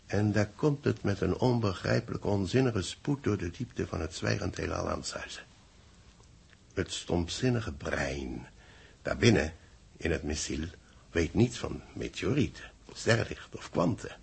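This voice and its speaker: Deep, husky, solemn man in radio drama. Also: animated, full character voice. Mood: ominous. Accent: Dutch. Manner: solemn, theatrical, deliberate declamation. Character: grave senior man dramatically dictating space bulletins to his assistant. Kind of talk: 1960s radio drama acting.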